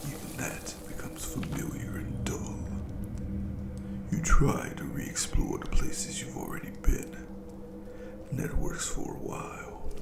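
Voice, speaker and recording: A cruel, ageless monster , acted out by a performer, whispers tauntingly to its human toy in an audio roleplay. The breathy voice has a gravelly creak and savors each threat with theatrical, playful menace. It sounds roomy and set back from the microphone. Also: medium pitch, far from the mic.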